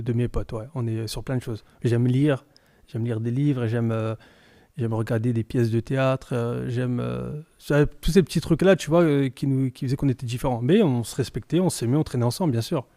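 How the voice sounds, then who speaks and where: A man's voice: medium pitch, moderate pace, warm tone, no echo, clean studio sound. A young man from France, talking casually as a podcast guest in an informal, slangy way and often repeating words while he searches for them. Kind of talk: casual interview chat.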